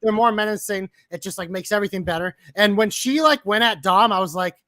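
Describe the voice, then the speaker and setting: A man co-hosting a podcast, talking quickly and excitedly about wrestling, joking around and swearing. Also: high voice, dry recording, clean studio sound. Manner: fast, excitable, jokey, swears. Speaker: podcast co-host. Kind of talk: casual wrestling chat.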